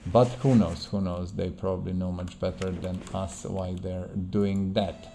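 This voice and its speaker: A man's voice: deep voice, flat delivery, a little slow, creaky, mellow, slight background noise. A man who is not a native English speaker, giving casual game playthrough commentary in a hesitant way, searching for words and repeating himself. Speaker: gaming video host.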